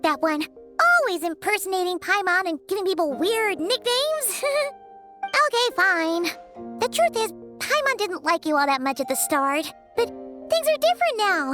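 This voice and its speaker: Squeaky excitable sprite. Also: female voice, heavily acted. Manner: excitable, babbling, refers to herself by name. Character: tiny chatty floating female companion sprite. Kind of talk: game cutscene voice acting.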